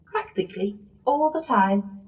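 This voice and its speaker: Fairy godmother for audio panto, female actor: cheery, no-nonsense, theatrical, unhurried delivery, slightly off-mic. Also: a little high, lively intonation, recorded from a distance. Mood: kindly, encouraging. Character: brisk, cheery fairy godmother who often talks in rhyme.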